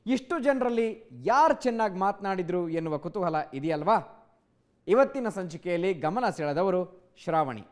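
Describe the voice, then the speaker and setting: A man's voice: sits high, lively intonation, measured pace. A man presenting a television programme in a scripted style.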